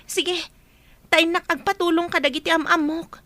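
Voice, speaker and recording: A brisk, take-charge young Ilocano-accented friend, acted by a woman in amateur audio drama. She sounds extremely high and forceful, in a dry recording. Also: steady tone, no echo. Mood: reassuring.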